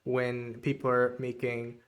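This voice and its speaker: A man's voice: medium pitch, completely flat delivery, a little slow. Hesitant podcast host, man. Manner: hesitant, heavy on fillers. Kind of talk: podcast interview.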